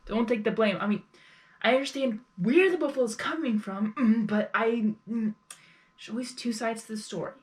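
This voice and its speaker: A woman's voice: fairly high pitch, normal speed. A woman giving casual live reaction commentary as host of a reaction video.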